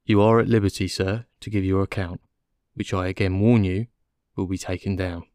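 A man's voice: deep voice, fairly even intonation, moderate pace, a little mellow, clear, resonant tone, no echo, clean studio sound, lightly acted. A man voicing a dull, unemotional police inspector with a formal, mechanical manner in an audiobook. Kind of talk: audiobook character dialogue.

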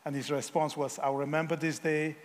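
A guest preacher, his voice sitting a little high for a man. He talks haltingly, filling gaps with small hesitations and wry jokes, and his words ring out in a hall with a long echo. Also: little pitch movement. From Africa. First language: Yoruba. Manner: halting, frequent filler pauses, self-deprecating humor. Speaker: guest missionary speaker. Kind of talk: sermon.

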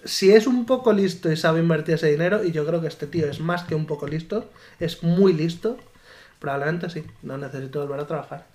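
High-voiced laughing man. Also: a little fast, a little mellow. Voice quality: higher voice than his co-host. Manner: laughs often, reads listener comments aloud. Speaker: podcast co-host. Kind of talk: casual chat.

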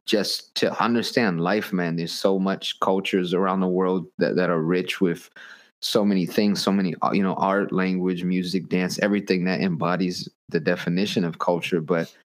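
A man's voice: sits low, level tone, moderate pace. A man from the United States hosting a podcast, chatting casually in informal hip hop slang. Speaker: podcast host. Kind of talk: casual interview chat.

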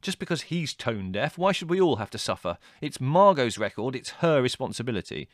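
A man performs a theatrical young man from a storybook, scornful and exasperated. He snaps and groans sardonically, with very lively swings of pitch. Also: normal speed, dry recording, studio quality, lightly acted.

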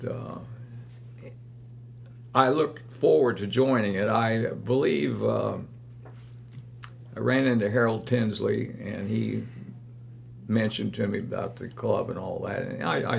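An older man, reminiscing about running in a slow, rambling way with many fillers. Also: lowish voice, creaky, a little hiss or hum. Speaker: guest, longtime club runner. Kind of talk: casual reminiscing chat.

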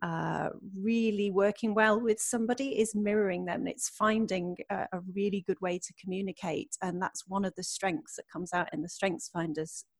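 A woman's voice: medium pitch, steady tone, normal speed, few pauses. A woman hosting a podcast interview, friendly and encouraging as she reacts and asks questions. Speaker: podcast host. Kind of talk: casual interview conversation.

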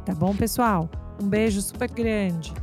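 A woman's voice: medium pitch, measured pace, soft background music. Chatty Brazilian woman podcast host. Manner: warm, chatty, enthusiastic. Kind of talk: casual podcast interview and outro. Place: Boston area, United States.

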